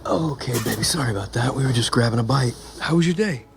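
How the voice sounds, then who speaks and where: Man acting as affectionate partner, audio drama, casual, rapid, creaky voice, medium pitch. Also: lively intonation. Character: easygoing affectionate male partner on the phone.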